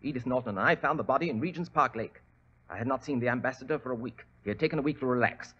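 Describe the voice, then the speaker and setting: A man's voice: medium pitch, racing, a bit bright, slight background noise, lightly acted. An actor playing a nervous, defensive young male secretary, pleading and flustered, with a strained voice in 1940s radio style. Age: young adult. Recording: dull, lo-fi old radio recording.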